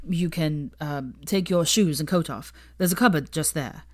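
Hesitant, gentle young man voiced by a woman. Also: low voice, moderate pace, no room sound, clean studio sound. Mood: awkward. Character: awkward young father, casual, rueful, gentle man. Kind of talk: acted audiobook dialogue.

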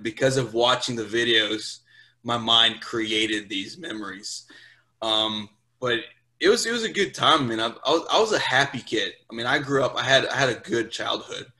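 Young man, bright voice. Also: mid-range voice, low-quality recording. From Brazil, later Portugal, England and Louisiana. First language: Portuguese. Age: 20s. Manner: informal, swearing, checks in with "right?". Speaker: podcast guest, US Marine sergeant. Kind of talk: casual interview chat.

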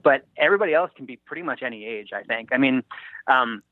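A forty-year-old man talking casually and haltingly as a screenwriter taking feedback in a group discussion. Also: medium pitch, animated, no echo, phone-quality sound. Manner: hesitant, halting. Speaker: screenwriter getting script feedback.

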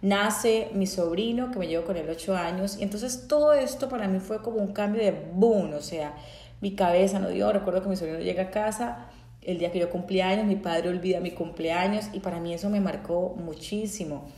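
Colombian woman, Santander accent, candid testimony, soft mellow tone, echoey room. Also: medium pitch, brisk. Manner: candid, reflective, many fillers and restarts. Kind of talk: personal testimony talk.

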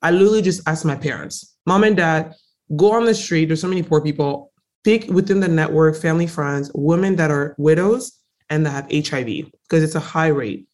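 A young woman from Congo, a native French speaker, talks as a guest in a relaxed interview. She leans hard on key words and tells her story with lively emphasis, sounding eager and direct. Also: deep voice, brisk. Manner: emphatic, animated, frequently stresses words. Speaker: guest entrepreneur.

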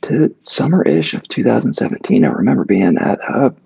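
Husky gravelly man telling a story. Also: medium pitch, very animated, a little fast, darkish tone, muffled recording. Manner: rambling, many fillers and restarts. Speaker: actor, guest. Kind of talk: casual interview storytelling.

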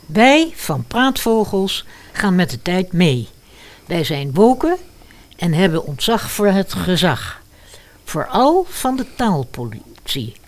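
Woman announcer, unhurried pace, highly varied intonation, theatrical, mock-solemn, scripted intro. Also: big pitch swings. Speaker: host, announcer. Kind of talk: scripted audio drama introduction.

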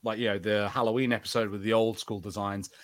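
A man's voice: on the low side, little pitch movement, fast talker. A man co-hosting a podcast, chatting casually about pop culture and slipping into acted-out bits of imagined dialogue. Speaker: podcast co-host.

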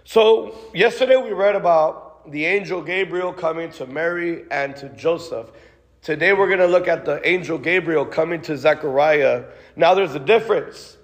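A man with a fairly high voice preaching a sermon and leading prayer. He talks casually, with restarts and asides, and tags his prayer with "God" and "Lord." His voice rings with the long echo of a big hall. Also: normal speed. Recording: long reverb of a large hall.

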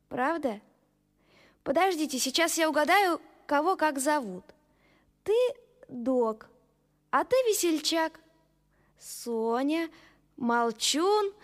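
A woman's voice: high voice, big-room sound. A woman playing a sweet, polite young fairy-tale princess in a cartoon, with a motherly streak.

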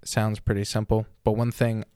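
A man hosting a casual podcast, husky and sick with a sore throat, talking in a thoughtful, rambling way. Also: lowish voice, completely flat delivery, normal speed, mellow, no echo, clean studio sound. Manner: thoughtful, rambling, self-correcting. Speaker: podcast host.

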